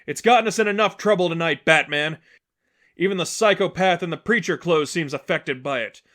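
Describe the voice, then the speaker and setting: Man voicing a high, exasperated vigilante woman. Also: brisk, fairly bright tone, lightly acted. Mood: exasperated, refusing. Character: defiant female vigilante, tense, exasperated, shouting over battle. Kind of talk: audiobook-style character acting.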